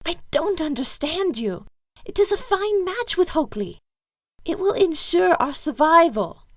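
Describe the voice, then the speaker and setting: A woman's voice: extremely high pitch, big pitch swings, a little slow, no echo, full character voice. A woman playing a stern, anxious upper-class mother in an audio drama, imperious and pleading. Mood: stern, urgent. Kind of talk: fan audio drama acting.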